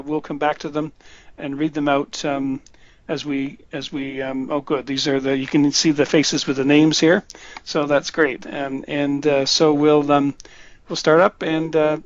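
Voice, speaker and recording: A man hosting a webinar, introducing speakers in a halting way with many fillers and restarts. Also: on the high side, no room sound. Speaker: webinar host. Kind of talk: hesitant spoken introduction. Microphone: computer mic on a webinar call. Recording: dull, dry lo-fi webinar audio.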